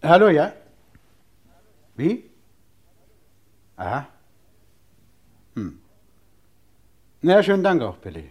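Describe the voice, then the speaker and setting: An actor playing a self-assured, jovial and ironic police inspector in a crime radio play. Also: male voice, medium pitch, a little mellow.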